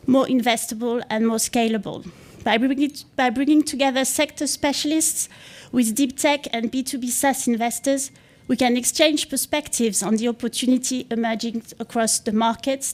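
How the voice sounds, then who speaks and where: A woman opens a conference with prepared remarks, reading them in a measured way. Her voice sits a little on the higher side. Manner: measured, read from a script. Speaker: event host giving opening remarks. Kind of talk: scripted opening remarks. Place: conference venue.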